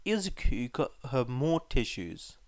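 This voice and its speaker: Male fiction narrator: medium pitch, measured pace, scripted storytelling, very little room sound. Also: very animated, darkish tone, no echo. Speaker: fan fiction audiobook narrator.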